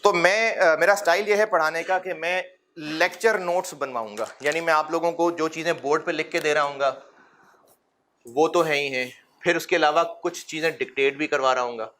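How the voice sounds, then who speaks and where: Bright, fatherly lecturer man. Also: a little high, normal speed, a little hiss or hum. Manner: fatherly and encouraging, addresses students as son; rhetorical questions, gentle humor. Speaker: accounting lecturer. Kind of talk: classroom lecture. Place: classroom.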